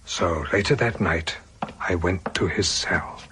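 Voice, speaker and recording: An actor in a radio drama playing an ailing, remorseful old British army colonel, speaking formally and haltingly in a husky, breathy voice. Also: male voice, low-pitched, heavy background noise. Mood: sombre. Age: older.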